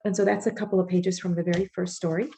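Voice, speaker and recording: A woman's voice: lowish voice, little pitch movement, fast, gravelly, warm tone, a little hiss or hum. A woman author with a Midwestern accent, speaking casually at an online library event and then reading from her stories, with some fillers and restarts. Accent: Midwestern American. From northeast Ohio.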